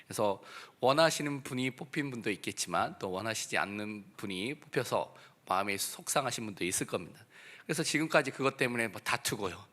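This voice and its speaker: Man, pastor, sermon delivery, mid-pitched, hoarse from a cold, sharp projection, emphatic exhortation. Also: lively intonation, fairly quick. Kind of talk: church sermon. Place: church service.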